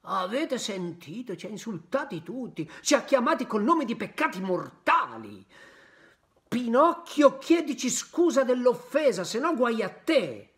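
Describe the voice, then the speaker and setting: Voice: mid-range voice, big pitch swings, a little slow, pitched above the performer's own voice. A reader voicing a gang of rowdy schoolboys making threats. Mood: threatening.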